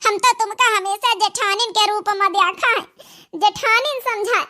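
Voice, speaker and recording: A woman voices a young rural mother from an Awadhi cartoon comedy. The voice is childlike in its very high pitch and piercingly bright. She pleads effusively, repeating herself in melodramatic, repentant bursts. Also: normal speed. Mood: tearful gratitude.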